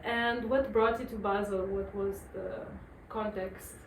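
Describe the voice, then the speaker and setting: Slow-speaking woman interviewer, echoey distant recording. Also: mid-range voice, steady tone, slight background noise. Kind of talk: casual interview. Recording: echoey room, distant mic.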